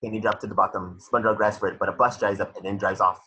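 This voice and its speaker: A man's voice: lowish voice, level tone, very fast, pushed and bright, breathy edge. A man narrating a cartoon script's stage directions in a matter-of-fact way, sometimes stumbling over the words. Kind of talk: reading a cartoon script aloud.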